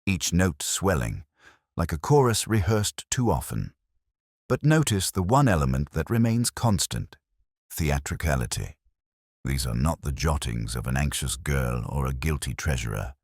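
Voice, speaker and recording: A male narrator plays a calm police inspector in a storybook mystery. The voice is very deep and dry, with animated cadence. He speaks gently and deliberately, kindly but shrewd. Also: dry recording, heavily acted. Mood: deliberate explaining. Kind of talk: audiobook character dialogue.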